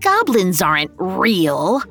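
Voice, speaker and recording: A woman voicing a naughty, high-strung little boy in a whiny, protesting storybook manner. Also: wildly swooping pitch, takes it slowly, fairly bright tone, soft background music, exaggerated voice. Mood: sulky.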